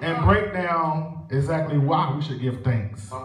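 Young preacher, echoey church. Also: male voice, fairly high pitch, measured pace, crowd noise. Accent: Southern US. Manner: rhythmic, building call-and-response preaching. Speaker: minister preaching a sermonette. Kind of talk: sermon with prayer. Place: church sanctuary. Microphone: stand mic at pulpit, filmed by far camera. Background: organ music.

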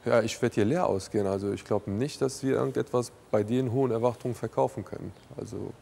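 A man's voice: mid-range voice, fast talker, creaky, warm tone, breathy edge. A man in his thirties talking informally and directly, as a TV dealer who haggles and comments. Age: 30s. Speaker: TV junk-dealing expert and presenter. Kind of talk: casual haggling and commentary to camera. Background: faint background music.

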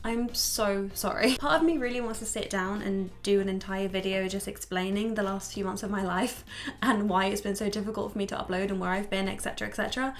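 A woman's voice: medium pitch, steady tone, brisk. A woman in her twenties chatting to camera, candid and swearing freely, a little stuffy from a cold, in a small room at home. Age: 20s. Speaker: YouTuber. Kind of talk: casual chat to camera.

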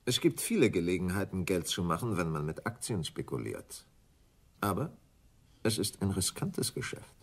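Suave scheming stockbroker, low voice, swooping intonation, condescending, deliberate, radio crime drama. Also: male voice, sing-song, husky, exaggerated voice. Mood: confiding, cool. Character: smooth scheming English stockbroker planning insurance fraud.

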